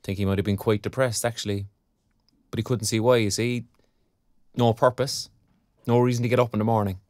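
An actor playing a frank, sardonic young Irish graduate in an audio drama, speaking colloquially. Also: male voice, on the low side, fairly even intonation, brisk, darkish tone, no echo, clean studio sound, lightly acted. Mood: reflective, frank. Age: young adult. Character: young underemployed arts graduate, frank and wry. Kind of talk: naturalistic audio drama dialogue.

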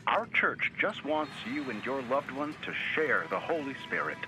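Game role: preachy, cheerful male church caller, played by an actor, lively intonation, some noise behind. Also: medium pitch, normal speed, lightly acted. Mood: chipper, preachy. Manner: effusively polite, preachy. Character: cheerful, earnest male church canvasser on the phone. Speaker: telephone church solicitor. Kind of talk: video game voice acting.

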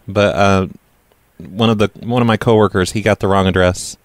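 A man's voice: sits low, steady tone, normal speed, no echo, close to an everyday voice. A man playing a blundering, casually unapologetic lawn care worker on a prank call, hesitant but blunt in the way he talks. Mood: nonchalant. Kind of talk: improvised prank phone call.

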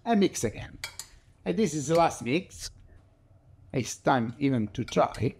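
A man's voice: a little high, animated, measured pace, darkish tone, breathy edge. A middle-aged Italian man with an Italian accent, chatting casually in non-native English as he demonstrates a recipe in his home kitchen. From Italy. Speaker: cooking show host.

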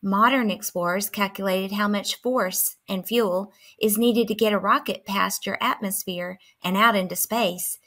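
A woman reading a children's picture book aloud in an expressive manner. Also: mid-range voice, measured pace, few pauses. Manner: expressive, acting out dialogue. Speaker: storybook reader.

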